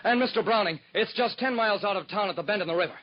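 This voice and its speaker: An eager young job seeker in an old radio drama, high-voiced and pleading, earnest yet nervous. The sound is dull and lo-fi, like a 1940s broadcast transcription. His talk stays polite and anxious. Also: rapid-fire. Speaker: secretary.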